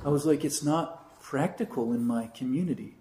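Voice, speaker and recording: A man's voice: medium pitch, moderate pace, mellow. A man, a priest, casually teaching a catechism class, rambling with many restarts and now and then singing a short chant line. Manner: rambling, many fillers and restarts, sings brief chant examples. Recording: roomy echo.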